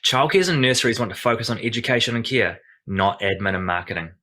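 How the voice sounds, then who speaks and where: Bright-voiced New man pitching. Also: expressive, fast talker. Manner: polished, confident delivery. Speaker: startup founder. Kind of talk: scripted startup pitch.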